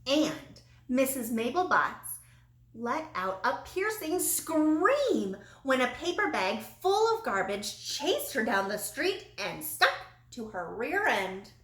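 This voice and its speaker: A woman reading a children's storybook aloud in an expressive, animated way with dramatic emphasis. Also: high-pitched, slow pace. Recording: roomy, echoey sound.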